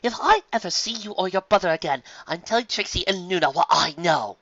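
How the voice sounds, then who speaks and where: A reader voicing a brave young mare farmer in a fan storybook reading, speaking loudly and forcefully. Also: medium pitch, lively intonation, fairly quick, no echo, highs cut off, pitched above the performer's own voice. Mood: cold threat.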